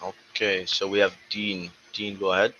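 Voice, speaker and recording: A man with a low, bright, forceful voice runs an online question session in a brisk, businesslike way. The audio is dull and lo-fi, with a faint hiss of noise behind him. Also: completely flat delivery. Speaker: host running a community Q&A call. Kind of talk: moderating an online call.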